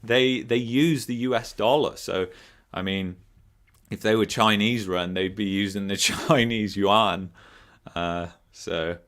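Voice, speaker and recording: A man with a very low, hoarse voice hosts a casual live stream, answering viewer questions. He rambles, loses his train of thought and chuckles now and then. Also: slow, a bit bright. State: hoarse from a sore throat. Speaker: finance channel host.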